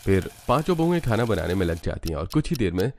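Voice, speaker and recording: Low-voiced man narrating a cartoon story. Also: sing-song, fast, a little hiss or hum.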